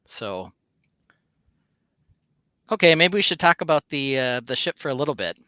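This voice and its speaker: A man's voice: on the high side, very animated, moderate pace, sharp tone, dry recording, highs cut off. A man co-hosting a casual live stream, rambling along with plenty of fillers and restarts. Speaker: co-host.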